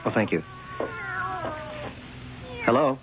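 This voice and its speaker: An actor playing a probing, laconic police lieutenant in a vintage radio drama, heard on a muffled old broadcast. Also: male voice, medium pitch, lively intonation, slow pace, music in the background. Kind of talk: 1970s radio drama acting.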